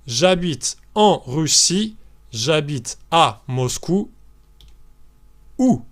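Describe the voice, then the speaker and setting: Slow, lively French teacher man. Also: fairly high pitch. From France. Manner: slow, deliberate model sentences for learners to repeat. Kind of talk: language lesson for beginners.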